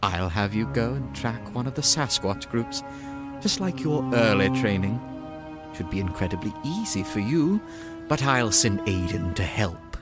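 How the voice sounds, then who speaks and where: An actor playing a stern, condescending organization leader in an audio drama, with a sneering, authoritative, mock-polite manner. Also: male voice, on the low side, expressive, a little slow, loud music behind the voice. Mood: cold command.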